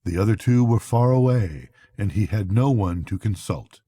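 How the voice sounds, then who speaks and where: A man's voice: on the low side, animated, moderate pace, warm tone, husky, no room sound, clean studio sound. An older man reading a children's bedtime story aloud as narrator.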